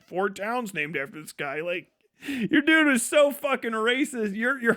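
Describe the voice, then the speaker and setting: A man's voice: high voice, fairly bright tone, no room sound, studio quality. A man giving casual, ranting live-stream political commentary in a profane, scornful, emphatic way, often repeating phrases for emphasis.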